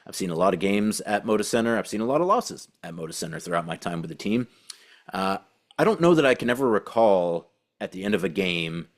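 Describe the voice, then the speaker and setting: A man hosting a sports podcast with casual commentary, talking in a conversational, halting way and circling back to rephrase. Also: low-pitched. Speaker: podcast host and team beat reporter.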